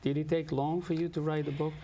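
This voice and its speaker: A man hosting an interview, asking questions in a halting way, with many restarts and fillers. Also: on the high side, level tone, dark tone, a little hiss or hum. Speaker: interviewer, host.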